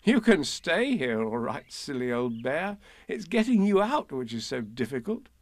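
A man voicing a kind, practical young boy in a storybook, with a gentle, reassuring manner. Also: mid-range voice, big pitch swings, moderate pace, dry recording, clean studio sound. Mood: matter-of-fact.

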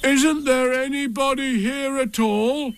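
Guy doing a sweet dim hungry bear for a kids storybook, real high voice, slow n earnest. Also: lively intonation, fairly bright tone, heavily acted. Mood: puzzled. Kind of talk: children's storybook reading.

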